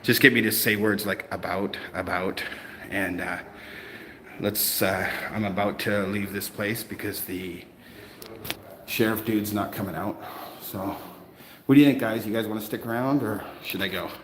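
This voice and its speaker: A man's voice: fairly low pitch, fairly even intonation, moderate pace, fairly bright tone, reverberant, a little hiss or hum. A man with a slight Canadian accent, chatting casually to livestream viewers and answering their comments as he waits in a public building. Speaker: citizen auditor livestreamer.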